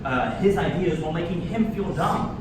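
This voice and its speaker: A man's voice: on the high side, animated, moderate pace, natural voice. A man playing an indignant, fussy young party host in a staged play reading, arguing in exasperation. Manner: exasperated, argumentative. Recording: echoey room from far off, loud noise.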